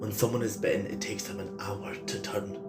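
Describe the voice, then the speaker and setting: An actor playing a defensive, weary survivor in an audio drama, speaking in a halting, emphatic way. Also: male voice, on the low side, level tone, close to an everyday voice. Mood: sombre, earnest. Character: defensive, weary male survivor explaining himself to friends. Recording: roomy echo with music behind.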